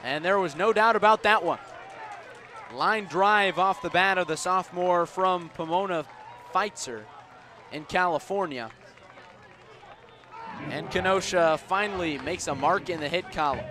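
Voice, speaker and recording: A man doing live radio play-by-play of baseball as the announcer, with a measured delivery that rises on the action. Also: high-pitched, sharp tone, some chatter behind. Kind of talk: live radio sports commentary. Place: outdoors at a baseball stadium. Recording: outdoor ballpark ambience noise behind.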